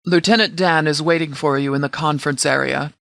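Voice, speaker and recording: A man's voice: fairly high pitch, fairly even intonation, brisk, vocal fry, a bit bright, dry recording, studio quality. Stern, formal sheriff in command , acted by a man. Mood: businesslike. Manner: formal, clipped, authoritative military phrasing. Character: stern, composed male sheriff commanding a militia department. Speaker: commanding officer. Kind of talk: scripted video game dialogue.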